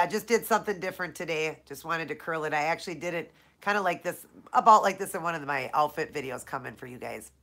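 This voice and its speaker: A woman's voice: sits low, a bit bright. A woman from Minnesota hosting a casual live Q&A, chatting with viewers by name, her nose stuffed up from allergies. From Minnesota, USA. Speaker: live-stream host.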